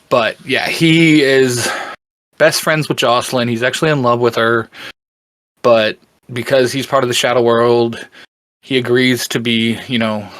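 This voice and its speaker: A man speaking as a podcast guest, explaining things casually and haltingly as he searches for words. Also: medium pitch, fairly bright tone, no room sound. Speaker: podcast guest and fan explaining a book series.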